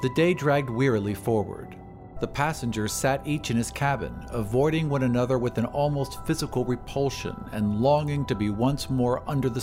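A male narrator with a medium-pitched voice reads scripted audio drama narration. He hosts the podcast, and music plays softly behind him.

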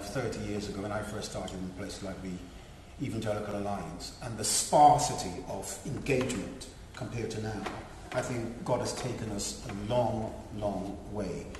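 A middle-aged man speaking on a panel, earnest, with halting restarts. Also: lowish voice, background noise. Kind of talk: panel discussion remarks. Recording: echoey hall with long reverb.

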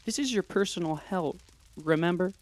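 Man doing a bored sarcastic lord of hell, dry deadpan tour guide vibe. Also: high-pitched, moderate pace. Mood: sardonic. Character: sardonic male ruler of hell, weary and dry.

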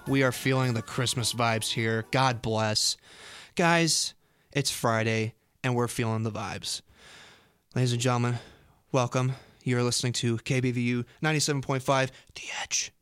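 A man's voice: mid-range voice, fairly even intonation, moderate pace, a bit bright, clear, resonant tone, dry recording, studio quality. A young man hosting a casual radio chat in an upbeat, effusive way. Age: young adult. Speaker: college radio show host. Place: radio studio. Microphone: close studio microphone.

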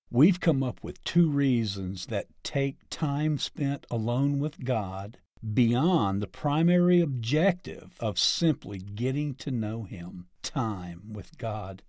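A man reading a ministry article aloud, deliberate and evenly paced, with lively shifts in emphasis. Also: medium pitch, no echo, clean studio sound. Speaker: article reader.

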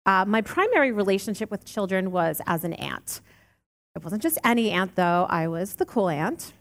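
A woman in her late thirties giving a sermon, earnest and warm with touches of light humor. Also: mid-range voice. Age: late 30s. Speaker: lay preacher. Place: church sanctuary. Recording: long church reverb.

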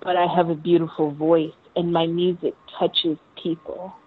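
Low-pitched American woman, thin phone-like sound. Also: flat delivery, moderate pace, husky. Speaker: interview guest, musician. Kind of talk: casual chat.

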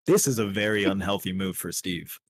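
A man's voice: low voice, brisk. A man in a casual group chat, delivering mock lectures and absurd non sequiturs.

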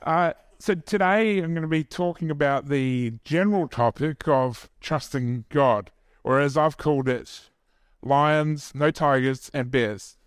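A man's voice: fairly high pitch, a little slow, clear, resonant tone, no room sound, clean studio sound. A man with a New Zealand accent preaching an informal sermon at a church service, joking about himself and chatting with the congregation. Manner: self-deprecating and joking, chatty asides to the audience.

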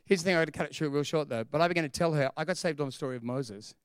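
Lively Australian preacher with a high, clean voice, dry close sound. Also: male voice, very fast, smooth, dry recording. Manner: animated, chatty, laughs at own story. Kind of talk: humorous storytelling sermon.

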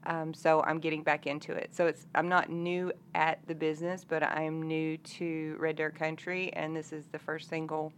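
A woman's voice: sits low, level tone, normal speed, hardly any pauses. A woman. She chats casually as an interview guest, with some noise behind her. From Arkansas, United States. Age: 50s. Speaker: interview guest, country singer-songwriter.

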